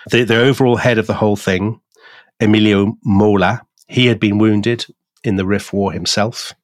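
A man co-hosting a podcast, telling a history story in a measured, deliberate way with pauses. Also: on the low side, dry recording, studio quality. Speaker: podcast co-host.

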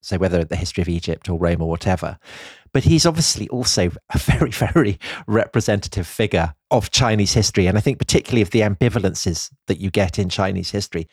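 A man with a fairly low voice who talks through history on a podcast in a considered, explanatory way. He often softens his points with small hedges. The sound is dry and close to the mic. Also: animated, quick pace, hardly any pauses, breathy edge, no room sound. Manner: thoughtful, explanatory, hedging with "I think". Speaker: podcast co-host.

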